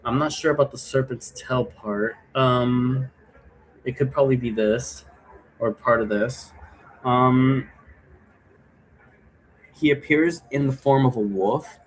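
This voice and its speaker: A man's voice: mid-range voice, takes it slowly, long pauses, slightly rough, poor audio. A man giving a casual, rambling tutorial to viewers in an informal, repetitive way, often checking in as he goes. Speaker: host giving an occult divination tutorial.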